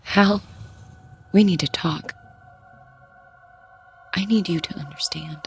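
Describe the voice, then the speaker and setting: Weary, low-voiced, gravelly wife. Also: female voice, slow, soft background music. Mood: tense pleading. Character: weary, resolute wife bargaining with a supernatural tormentor. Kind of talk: radio drama acting.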